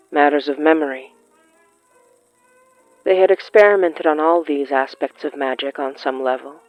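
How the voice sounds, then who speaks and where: Narrator reading a horror story, slow dramatic pauses, dull dry recording, faint music behind. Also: mid-range voice, no echo. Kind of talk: scripted horror fiction narration.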